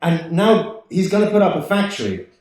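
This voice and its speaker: A man's voice: high-pitched, sing-song, normal speed, darkish tone. Man acting a brash, hearty young businessman, echoey. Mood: brash, excited. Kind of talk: 1940s radio play acting. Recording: very echoey hall, distant mic.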